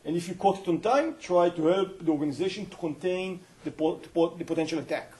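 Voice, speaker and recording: A middle-aged man with an Israeli accent, lecturing to a university audience. His voice sits a touch high for a man but sounds soft and mellow rather than bright. He explains things earnestly, with frequent hesitations and restarts as he finds his words. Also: expressive, a little fast. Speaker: company founder giving a guest lecture. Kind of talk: university colloquium talk.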